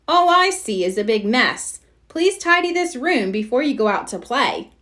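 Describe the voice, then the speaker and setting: A woman's voice: on the high side, very animated, moderate pace, a bit bright. A woman voicing a patient but firm mother turtle in a children's storybook.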